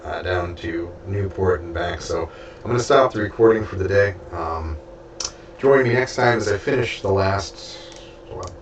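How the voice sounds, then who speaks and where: A man reading a book aloud with spoken asides, stuffy and losing his voice, halting and stumbling over names. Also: low-pitched. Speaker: audiobook reader and streamer. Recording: roomy, distant from mic, faint background hiss.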